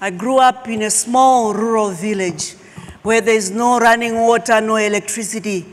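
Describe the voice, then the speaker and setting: A middle-aged woman from Zimbabwe, a Shona speaker, telling her personal story as a keynote speaker with emphatic pauses. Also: on the high side, steady tone. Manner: slow, emphatic, dramatic pauses. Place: large auditorium stage. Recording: long hall reverb.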